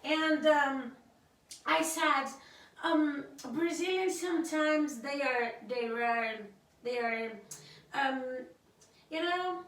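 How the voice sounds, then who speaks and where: Slow, high-voiced woman in an echoey room. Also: a bit bright. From Africa. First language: Creole. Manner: hesitant, searching for words, many fillers and restarts. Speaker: vlogger on her husband's channel. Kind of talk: casual spoken opinion to camera. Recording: very echoey room, voice far from the mic.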